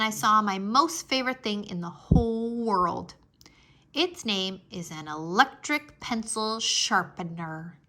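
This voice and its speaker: Bright, very lively woman reading a storybook. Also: mid-range voice. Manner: animated, childlike first-person storytelling. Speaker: read-aloud narrator for children. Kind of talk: expressive children's storybook narration.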